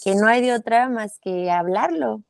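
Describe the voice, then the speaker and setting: A woman's voice: a little high, moderate pace, gravelly, clear, resonant tone, no echo. Mexican woman, young adult, casual guest answers, filler-heavy, dry close sound. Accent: Mexican Spanish. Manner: thoughtful, many fillers and restarts. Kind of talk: casual interview.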